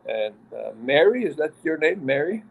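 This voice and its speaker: A man's voice: on the high side, big pitch swings, normal speed, gravelly, narrow, tinny audio. An older man who grew up in Yugoslavia, hosting a casual radio interview and telling long, chatty stories. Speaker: radio host.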